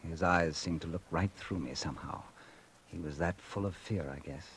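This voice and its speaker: A man's voice: moderate pace, a little hiss or hum. An actor playing a bitter, vengeful man who narrates his story in a 1940s radio drama. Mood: brooding. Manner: brooding first-person narration, low and confiding. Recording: old radio broadcast with music.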